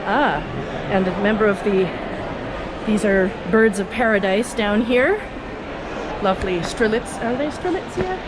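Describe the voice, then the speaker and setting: Loud ambient noise surrounds a woman recording a walking tour on a handheld camera. Her voice sits at a medium pitch. She talks casually and without hurry, pointing out sights and thinking aloud as host of her own vlog. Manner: chatty, unhurried, musing aloud as she looks around. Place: high viewing terrace and indoor rooftop garden. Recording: noisy handheld video with urban ambience.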